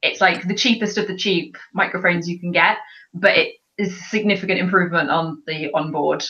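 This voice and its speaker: A woman's voice: lowish voice, steady tone, brisk, sharp tone. A woman hosting a livestream, chatting casually and laughing often. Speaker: livestream host. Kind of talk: casual group chat. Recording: dull lo-fi stream audio.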